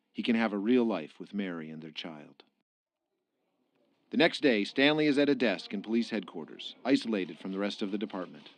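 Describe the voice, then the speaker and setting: An actor delivering scripted narration as the solemn storyteller of a radio crime drama. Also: male voice, lowish voice, no echo, lightly acted. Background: music.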